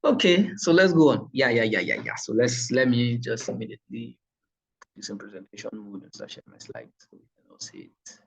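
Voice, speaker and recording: A man teaching a digital marketing class over a video call, talking in a relaxed, chatty way with many fillers and restarts. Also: medium pitch, a little fast, vocal fry, husky. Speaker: online class instructor. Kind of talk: casual online teaching. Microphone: computer mic on a video call.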